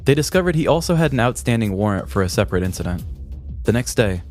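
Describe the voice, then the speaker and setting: A man's voice: medium pitch, big pitch swings, quick pace, darkish tone. A man in his thirties narrating a scripted comic crime story in a mock-dramatic storytelling manner. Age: 30s. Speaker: podcast co-host and narrator. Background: music bed.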